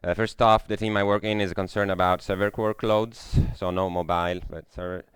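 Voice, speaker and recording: A young man with an Italian accent gives a technical talk in a lecture room, pausing often on "uh" and restarting his sentences. His voice sits low and deep and comes through smooth and clear. The sound is close and dry, with little room in it. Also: little pitch movement, dry recording. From Italy. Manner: hesitant, many fillers and restarts. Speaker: conference speaker.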